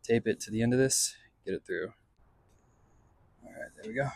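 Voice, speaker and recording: Male DIY vlogger, lowish voice, close dry sound, easygoing slangy talk while working on a truck. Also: moderate pace, creaky, a little mellow, no room sound. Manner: laid-back, slangy, thinking aloud. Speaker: vlog host doing a DIY car install. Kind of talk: casual narration while working on a vehicle. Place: in and around a pickup truck.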